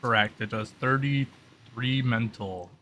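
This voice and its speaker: A man with a bright, crisp-edged voice at middle pitch acts as game master for a tabletop roleplay. He explains casually and informally, rambling a little as he thinks aloud. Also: slow, a little hiss or hum.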